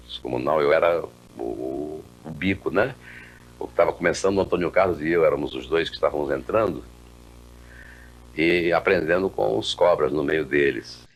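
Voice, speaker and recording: Very deep, gravelly older Brazilian man. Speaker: veteran comedian interviewed. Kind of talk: casual spoken reminiscence.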